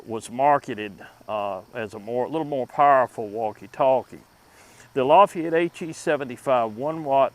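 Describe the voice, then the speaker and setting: A man with a mid-range voice presents a review as host of a vintage electronics channel. He talks informally through what he is demonstrating. His delivery is deliberate and unpolished, with a halting flow. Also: medium pitch, rough recording.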